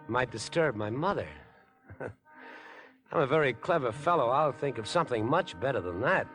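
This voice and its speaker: An American actor playing an unhinged, obsessive young rich murderer in 1950s radio suspense style, wheedling, insinuating and theatrical. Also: male voice, mid-range voice, animated, moderate pace, a bit bright, faint music behind, lightly acted. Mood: menacing, smug. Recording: noisy vintage 1950s radio recording.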